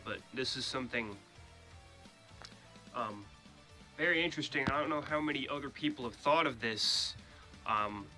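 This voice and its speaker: A man with a congested, stuffy-nosed voice talks through a casual gaming vlog at an ordinary middle pitch. He speaks bluntly and swears freely when he gets heated, often filling his pauses with ums and uhs. Faint music plays quietly behind him. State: stuffy nose from a cold. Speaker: gaming YouTuber.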